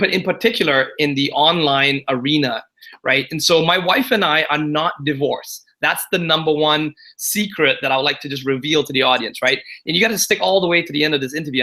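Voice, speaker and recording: A man's voice: fairly high pitch, pushed and bright. A man from Singapore in his forties, talking as a guest in an interview in a chatty, confiding way, often checking in with "right?" and "you know". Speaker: guest entrepreneur. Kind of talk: casual interview talk.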